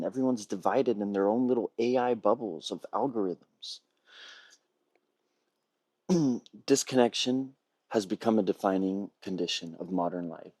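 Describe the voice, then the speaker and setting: A man presents a prepared pitch in a low register with a husky, rough-edged tone. He speaks in measured, earnest phrases, like a storyteller working from notes. The sound is dry, with very little room to it, as on a video call. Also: a little mellow, dry recording. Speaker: filmmaker pitching a feature film.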